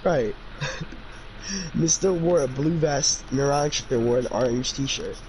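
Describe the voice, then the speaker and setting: A man's voice: mid-range voice, takes it slowly, darkish tone, slight background noise, poor audio. A man doing a casual amateur fanfiction reading, with informal, opinionated commentary. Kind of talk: casual amateur read-aloud with chatty commentary.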